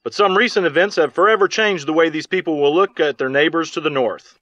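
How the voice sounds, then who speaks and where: High-voiced male war correspondent. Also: sharp tone, dry recording. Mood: serious, concerned. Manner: measured, serious. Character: earnest male war correspondent reporting live. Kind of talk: TV field report in a game cutscene. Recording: slightly dull, muffled audio.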